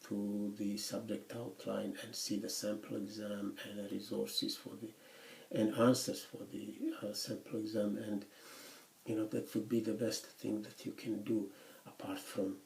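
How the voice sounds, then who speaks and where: A man lecturing university students in English, which is his third language, speaking haltingly with many restarts and pauses. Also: fairly low pitch, creaky, darkish tone. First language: not English; English is his third language after Swedish. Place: lecture room. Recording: echoey classroom recording.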